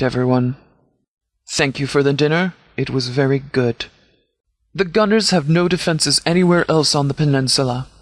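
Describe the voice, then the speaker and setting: A man playing a stern, composed sheriff in a video game, speaking in a measured, formal, authoritative way. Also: fairly high pitch, dry recording, studio quality, close to an everyday voice. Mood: formal, firm. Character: stern, composed male sheriff leading a post-apocalyptic militia. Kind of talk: scripted video game dialogue.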